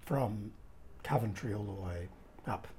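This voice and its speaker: A woman hosting a podcast interview, asking measured, halting questions. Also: a bass voice, darkish tone, slight background noise. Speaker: podcast host.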